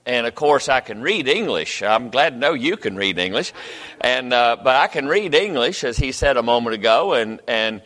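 An older pastor speaks from the pulpit in a bright, forceful voice. His talk is folksy and rambling, full of false starts, and it rings through a large church hall with a long echo. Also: mid-range voice, expressive, normal speed. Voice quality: folksy, rambling with many restarts. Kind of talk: sermon. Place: church sanctuary.

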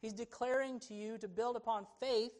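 A man's voice: very high-pitched, fairly even intonation, normal speed, darkish tone. A man preaching an expository sermon in a church, earnest and emphatic, his delivery rising and falling for emphasis. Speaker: pastor.

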